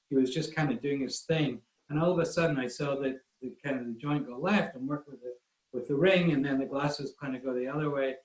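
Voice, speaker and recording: A man's voice: mid-range voice, a little mellow. Scottish man telling stories, roomy sound. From Scotland. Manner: rambling, self-deprecating, many restarts. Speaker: guest photographer. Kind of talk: casual storytelling in an online talk. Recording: roomy echo.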